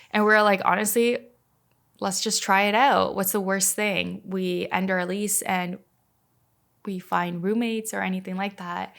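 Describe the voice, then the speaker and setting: A woman's voice: medium pitch, creaky. A woman in her thirties, a native Slovak speaker raised in Canada, chatting casually as a podcast guest with plenty of filler words. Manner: relaxed, many "like" fillers. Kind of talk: casual conversation.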